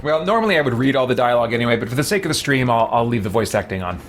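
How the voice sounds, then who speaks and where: A man's voice: mid-range voice, lively intonation, racing, music in the background. A man giving gaming commentary as a streamer, with a theatrical bent. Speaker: streamer roleplaying a game character. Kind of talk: gaming commentary with read-aloud roleplay.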